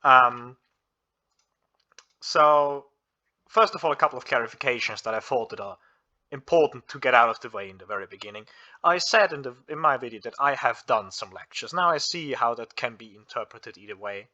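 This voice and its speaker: Young Austrian man hosting his channel, bright voice, slightly muffled lo-fi recording. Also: mid-range voice, animated, normal speed. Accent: Austrian German. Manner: earnest, many restarts and fillers. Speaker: physics student and channel host. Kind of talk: casual explanatory monologue.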